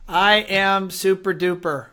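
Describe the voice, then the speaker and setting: A man hosting a live-streamed interview show, chatty and upbeat with many fillers, his voice raspy and hoarse from allergies. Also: high-pitched, fairly even intonation, takes it slowly, bright, forceful tone. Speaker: host.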